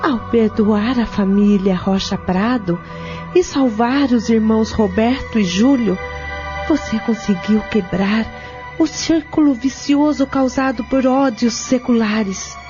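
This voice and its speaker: An actress playing a loving, serene spirit wife in a radio drama, solemn and tender in manner. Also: female voice, a little high, slow pace. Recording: dull lo-fi radio recording with music.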